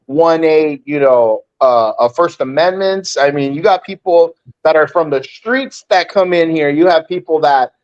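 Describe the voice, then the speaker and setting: A man's voice: fairly high pitch, measured pace, few pauses. A young man chatting casually as a guest, rambling and filling his sentences with "like". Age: young adult. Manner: rambling, fills every gap with like. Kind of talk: casual chat. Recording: dull lo-fi sound.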